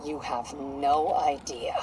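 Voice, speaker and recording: Female police captain for a game: low register, terse, intense, frustrated. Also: fairly even intonation, takes it slowly, dark tone, a little hiss or hum, poor audio. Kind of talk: video game voice acting, dramatic dialogue.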